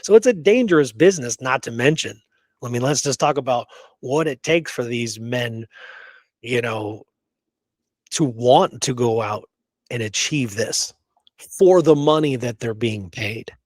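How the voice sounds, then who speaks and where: A man's voice: medium pitch, animated, a little slow, no room sound. A middle-aged man with an American accent and a mid-range voice talks in a casual, opinionated way. He is emphatic and colloquial, and the sound is close and dry. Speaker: podcast co-host, history researcher and author. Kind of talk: casual opinionated history chat.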